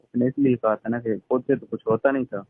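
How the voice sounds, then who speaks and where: Man, casual questioner on a recorded phone call; narrow, tinny audio, medium pitch. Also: steady tone, brisk, husky, no echo. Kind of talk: casual phone chat, asking probing questions. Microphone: telephone line.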